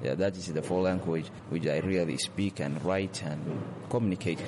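A man answering a street interview, listing slowly with pauses. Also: deep voice, steady tone, background noise.

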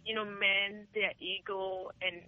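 A woman's voice: mid-range voice, little pitch movement, slow. A woman calling into a talk show, speaking candidly and emphatically. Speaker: caller. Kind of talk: casual phone-in chat. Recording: muffled phone line.

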